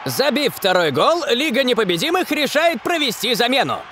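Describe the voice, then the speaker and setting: An actor playing an excitable man in a football cartoon, with dramatic exclamations. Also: very high voice, normal speed, background noise.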